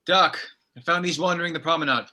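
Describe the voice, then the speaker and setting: Casting: man as guarded army soldier, slightly high voice, audio drama read, noisy clicky recording. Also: fast, close to an everyday voice. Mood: reporting. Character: gruffly wary armed male army soldier. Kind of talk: scripted drama table read.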